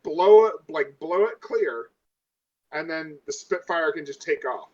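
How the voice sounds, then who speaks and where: A man's voice: on the high side, lively intonation, a little slow, darkish tone, dull audio. A man chatting casually as a player in a tabletop game, insistent and restarting his questions.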